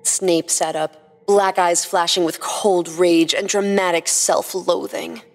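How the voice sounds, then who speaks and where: A woman's voice: lowish voice, fairly even intonation, slow. A woman host reading humorous fan fiction as scripted narration. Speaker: podcast host and story narrator. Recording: long reverb, music behind the voice.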